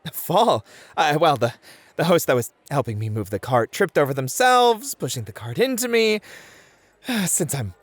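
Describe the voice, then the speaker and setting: An actor playing a beefy, experienced club host, a flirty and politely teasing charmer in a scripted audio roleplay. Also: male voice, a little high, big pitch swings, moderate pace, no echo. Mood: flustered, hesitant.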